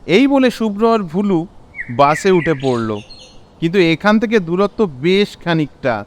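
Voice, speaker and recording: A man's voice: sits high, very animated, normal speed. A man with a Bengali accent narrating a cartoon story in a dramatic storytelling style. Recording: clear background noise.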